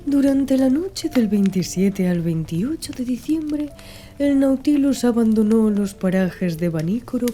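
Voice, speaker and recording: A woman reading scripted literary narration as an audiobook narrator, in a measured, formal way. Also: medium pitch, warm tone. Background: music.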